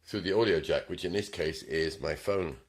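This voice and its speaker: A man demonstrating a car radio in his small repair shop, talking casually, with ums and throat clearing. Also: sits low, normal speed. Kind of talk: casual hands-on product demonstration. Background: car radio playing music.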